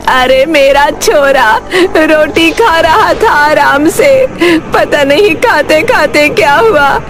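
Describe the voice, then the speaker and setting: A woman's voice: high voice, animated, slow, a faint crowd, pitched above the performer's own voice. A woman voicing a distraught, brusque rustic village mother in a melodramatic cartoon story, with a wailing delivery and country phrasing. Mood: tearful, distraught. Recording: prominent background music. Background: music.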